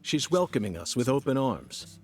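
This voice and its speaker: A man's voice: medium pitch, very animated, moderate pace, darkish tone, soft background music, natural voice. An actor playing a cheerful, eccentric male FBI agent in game dialogue who keeps talking to an unseen companion. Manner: chatty, talks to an unseen companion.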